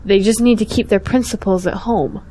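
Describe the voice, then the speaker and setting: A woman's voice: mid-range voice, steady tone, normal speed, dark tone, a little hiss or hum, natural voice, poor audio. A young woman playing a principled, outspoken schoolteacher in an audio drama, earnest and pointed. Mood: sarcastic, pointed. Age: young adult.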